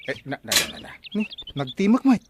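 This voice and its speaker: A man with an Ilocano Filipino accent playing an excitable, stubborn treasure-hunting village captain in a radio comedy drama. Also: mid-range voice, brisk, noisy background. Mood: flustered.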